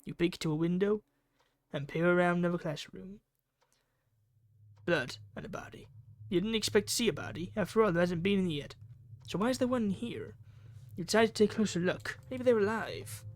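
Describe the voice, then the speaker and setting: A man's voice: high-pitched, lively intonation, brisk, dry recording. A man reading a visual novel game's narration and dialogue aloud, stumbling over lines and correcting himself.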